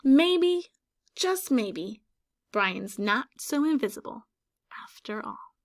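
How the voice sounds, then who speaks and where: Woman teacher, storybook read-aloud, questioning and prompting kids, fairly high voice, very expressive pitch. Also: slow. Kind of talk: children's picture-book read-aloud.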